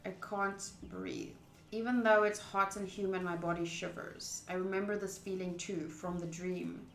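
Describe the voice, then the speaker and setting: A woman's voice: fairly low pitch, steady tone, normal speed, gravelly, reverberant. A woman streaming a visual novel, informal and blunt, switching between live commentary and reading the game text aloud.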